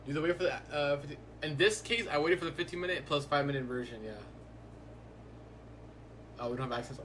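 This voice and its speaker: A man's voice: fairly high pitch, fairly quick, fairly bright tone, a little hiss or hum. A young man hosting a live stream, chatting casually in a laid-back, rambling way and filling his talk with "like". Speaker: livestreaming day trader.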